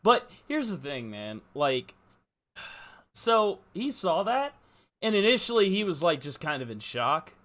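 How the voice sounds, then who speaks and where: A man's voice: high voice, sing-song, a little slow, fairly bright tone. A man co-hosting a casual live football talk show, speaking in an earnest, emphatic and informal way. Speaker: podcast co-host.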